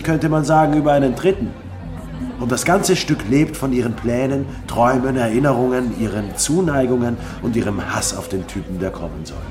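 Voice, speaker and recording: A man's voice: mid-range voice, lightly acted. An actor playing an earnest, fed-up playwright who defends his work in a theatrical German radio drama. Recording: music under the voice.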